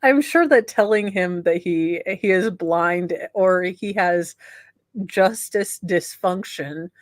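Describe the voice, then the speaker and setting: Sardonic low-pitched woman reading dramatically. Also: slow pace, no room sound, studio quality. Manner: sardonic, mocking. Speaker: host reading letters. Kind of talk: dramatic reading with joking asides.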